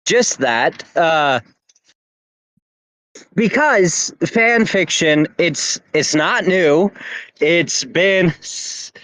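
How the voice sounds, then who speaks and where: A man's voice: on the high side, expressive, extremely slow, pauses often, a bit bright, no room sound, low-quality recording. A young man casually hosting a podcast, rambling with lots of "anyways" and laughter. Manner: rambling, many fillers and laughs. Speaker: podcast host.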